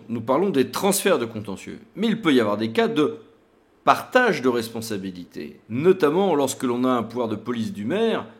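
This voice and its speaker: A man presenting a legal tutorial in a didactic, emphatic way, like a lawyer explaining case law to an audience. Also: medium pitch.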